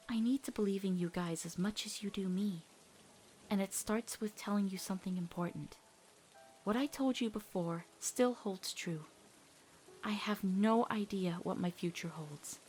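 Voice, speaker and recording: A woman voicing an earnest, awkward teenage girl in an emotional cartoon comic dub, heartfelt and halting when nervous. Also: on the high side, darkish tone, breathy edge.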